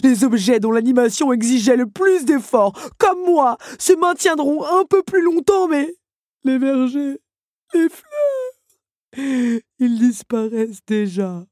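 A woman's voice: high voice, big pitch swings, darkish tone, dry recording, studio quality, pitched above the performer's own voice, exaggerated voice. A woman voicing a fragile, tearful male automaton who stammers and sobs as he speaks, in an audiobook. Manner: sobbing, halting, stammering. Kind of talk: audiobook character voice.

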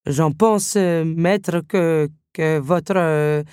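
A woman's voice: low voice, very slow, darkish tone, a little hiss or hum. A woman voicing a flustered, tactful male candelabrum servant who stammers deferentially, in an audiobook story.

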